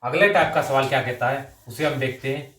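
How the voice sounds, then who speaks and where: A man's voice: medium pitch, fairly quick, fairly bright tone. A male maths teacher with a mid-range voice works through exam problems aloud, one step at a time. He drops English number words into his explanation and keeps checking in with short tags. The sound is echoey, picked up some way from the microphone. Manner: repeatedly checks in with short tag phrases; mixes English number words and terms into the talk. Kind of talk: exam-coaching lesson, working sums aloud step by step. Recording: roomy echo, distant microphone.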